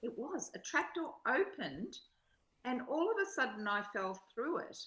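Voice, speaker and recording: A woman's voice: fairly high pitch, big pitch swings, measured pace, fairly bright tone, rough recording. A woman in a spiritual study group, sharing reflectively and reading aloud in an earnest, introspective way.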